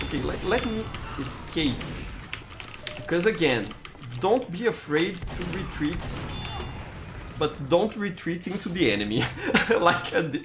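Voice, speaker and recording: A man with a Brazilian accent, a native Portuguese speaker, chatting casually on a gaming live stream in a halting way, stammering and repeating words. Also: a little high. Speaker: live-streamer hosting gameplay.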